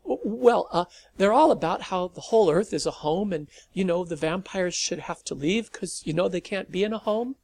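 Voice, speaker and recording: A nervous, earnest teenage boy stammers and rushes through his plea, as a male reader puts him on. The voice is pitched up and gravelly, with a husky edge. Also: lively intonation, darkish tone, heavily acted.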